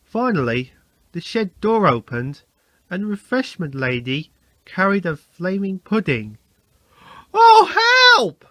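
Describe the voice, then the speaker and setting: A man's voice: on the high side, very animated, unhurried, fairly bright tone, no echo. A man reading a scripted children's story as narrator.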